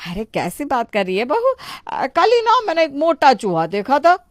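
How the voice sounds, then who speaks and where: A woman's voice: high voice, huge pitch swings, moderate pace, no room sound, clean studio sound. A woman voicing a stubborn, greedy older mother-in-law in a moral story, bossy and exclamatory with a whining edge. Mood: evasive. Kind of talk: Hindi moral story voice acting.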